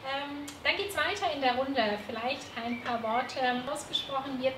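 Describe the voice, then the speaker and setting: A woman's voice: sits high, normal speed. A woman moderating a live panel discussion, hesitant with many fillers. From Turkey. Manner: hesitant, many fillers, laughs at own slips. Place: seminar room with a panel. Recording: echoey room, background noise.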